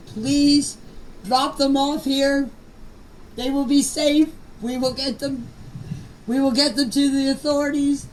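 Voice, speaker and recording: Older lady talking plain and earnest in a voice note, kinda high voice, sounds away from the mic. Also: distant mic, slight background noise, low-quality recording. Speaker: grandmother of missing children. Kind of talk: informal voice messages. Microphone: phone voice message.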